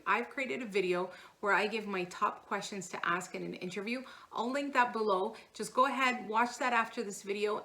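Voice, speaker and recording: A woman's voice: medium pitch, pushed and bright. A woman hosting as a career coach, giving scripted, instructional advice straight to the viewer. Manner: instructional, addresses viewer directly. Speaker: career coach and video host.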